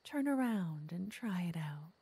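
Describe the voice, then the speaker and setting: A woman playing a flirty, teasing witch in an ASMR roleplay, softly and often in whispers, intimate and coaxing. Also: lowish voice, animated, a little slow, heavily acted. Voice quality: soft, breathy, often whispering. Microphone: close microphone.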